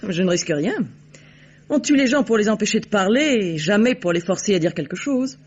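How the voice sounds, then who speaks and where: An actress playing a haughty, shrewd, mercenary society woman in a vintage French radio drama, with poised, disdainful, deliberate delivery. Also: medium pitch, big pitch swings, a little mellow, husky. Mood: sly, mocking. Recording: dull, noisy old radio recording.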